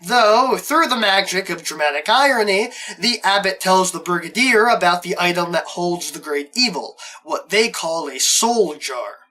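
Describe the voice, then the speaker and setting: A man's voice: high voice, expressive, moderate pace, hardly any pauses, bright, forceful tone, poor audio. A man narrating a scripted plot recap as host of a review show.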